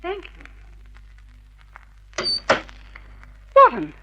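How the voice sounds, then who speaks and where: An actress playing a poised, polite and serious wife in an old radio drama. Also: female voice, very slow, sharp tone. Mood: cool, polite. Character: poised, exasperated wife seeking a detective's help. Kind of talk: mid-century radio drama acting. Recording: muffled vintage radio drama recording.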